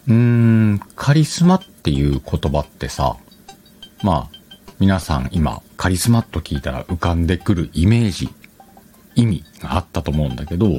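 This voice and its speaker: A man's voice: deep voice, dark tone. A man hosting a casual advice talk, explaining conversationally and questioning his own phrasing as he thinks aloud. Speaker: podcast host.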